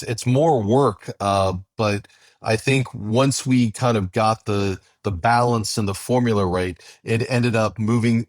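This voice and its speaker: A man hosting casual behind-the-scenes commentary, talking in a halting, thoughtful way. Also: fairly low pitch, no room sound, studio quality. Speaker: host and show creator.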